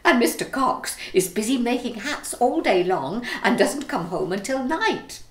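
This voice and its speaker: A woman's voice: fairly high pitch, very animated, a little slow, echoey room. A woman playing a flustered, sly Victorian London landlady in a farcical audio play. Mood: sly relief. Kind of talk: theatrical farce acting for audio play.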